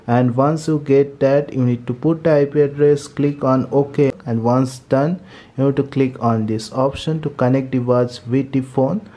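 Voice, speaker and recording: A man's voice: medium pitch, dark tone. A man narrating a step-by-step tech tutorial in a methodical, repetitive way.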